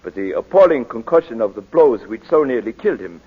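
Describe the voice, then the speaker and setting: An actor playing a grave middle-aged country doctor, formal and deliberate in an old radio drama. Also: male voice, mid-range voice, expressive, slightly rough. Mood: solemn, dramatic. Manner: deliberate, formal, theatrical phrasing with dramatic pauses. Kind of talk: 1940s radio drama acting. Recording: dull 1940s radio broadcast recording.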